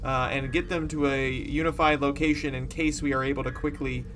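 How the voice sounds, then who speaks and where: A man's voice: medium pitch, little pitch movement, brisk, noisy background. A man playing a tabletop roleplaying game, speaking casually in character as a commanding officer. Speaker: player acting as a starship commander.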